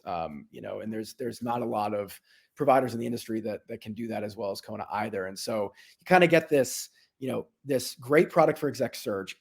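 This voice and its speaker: A man's voice: fairly low pitch, vocal fry, breathy edge. A man speaking as a business executive guest, explaining things in an informal, conversational way. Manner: informal explainer, checks in with "right?". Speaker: software company executive, interview guest.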